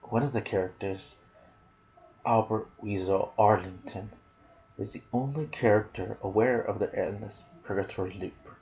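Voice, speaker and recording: A man giving soft-spoken ASMR commentary on a video game, slipping into whispers and pausing between short phrases. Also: on the low side, muffled recording. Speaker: gaming channel host.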